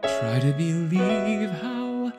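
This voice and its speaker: A woman's voice: medium pitch, sing-song, drawn-out words. A woman playing a loving figure in a musical, lyrical and tender. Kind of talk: musical theatre, lyrical delivery. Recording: loud piano music under the voice.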